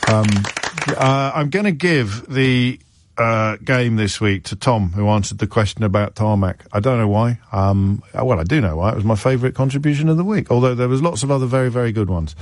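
A man's voice: mid-range voice, brisk. A man hosting a radio phone-in, chatty and jokey, often restarting and trailing off. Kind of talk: casual radio phone-in chat. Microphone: studio mic.

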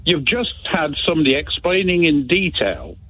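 A man's voice: a little high, very animated, moderate pace, husky. A man calling a radio show who argues combatively and lectures the host. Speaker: caller. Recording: tinny phone line.

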